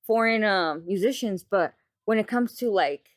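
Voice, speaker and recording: A woman's voice: mid-range voice, normal speed, dry recording. Woman, chatty interviewer, upbeat, dry close sound. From born in Houston, grew up in El Paso. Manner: upbeat, chatty, uses "like" a lot. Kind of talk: casual interview chat.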